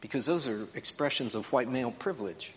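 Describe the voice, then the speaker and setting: A man's voice: medium pitch, expressive, brisk, slight background noise. A man preaching a lesson in a conversational, explanatory way, checking in with his listeners as he goes. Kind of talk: sermon lesson. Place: large auditorium. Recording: long reverb in an auditorium.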